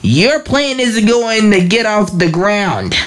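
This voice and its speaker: Woman slowly reading a comic aloud. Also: medium pitch, big pitch swings. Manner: slow, halting reading with restarts. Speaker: fan comic dub reader.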